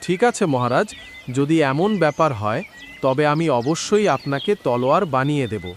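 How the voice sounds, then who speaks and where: An actor playing a humble, honest, hardworking blacksmith in a cartoon, speaking earnestly and politely. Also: male voice, a little high, lively intonation, moderate pace, a little hiss or hum, close to an everyday voice. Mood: respectful. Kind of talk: cartoon voice acting.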